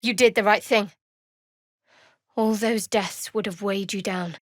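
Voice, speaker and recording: A woman playing a guarded, devout young cleric of a dark goddess in a fantasy video game, speaking in a measured, dry, slightly wry way. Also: medium pitch, fairly even intonation, no room sound, natural voice. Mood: earnest, reflective. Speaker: video game character voice actor. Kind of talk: scripted fantasy game dialogue.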